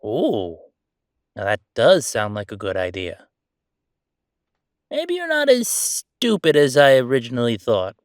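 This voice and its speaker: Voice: mid-range voice, huge pitch swings, unhurried, long pauses, dry recording, clean studio sound. An actor playing a chatty, sardonic ghost roommate in a roleplay audio, talking in a casual, teasing, exclamatory way. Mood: wistful, easygoing. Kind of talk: roleplay audio acting, conversational.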